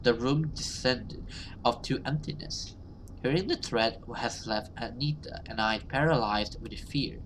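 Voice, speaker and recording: A man giving casual let's play commentary and reading game text aloud, halting and repeating himself, given to exclaiming. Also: medium pitch, fairly bright tone, slight background noise, poor audio. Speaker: gaming video host.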